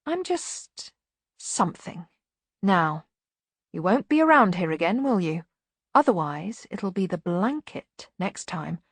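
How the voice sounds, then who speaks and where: A woman voicing a brisk, stern governess in a storybook, speaking quietly and firmly with dry menace. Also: on the low side, sing-song, husky, dry recording, exaggerated voice. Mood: firm warning.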